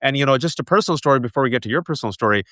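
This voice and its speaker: A man in his forties from the United States, hosting an interview and telling animated personal stories with lots of asides. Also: medium pitch, very fast, no room sound, studio quality. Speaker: host. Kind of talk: conversational interview.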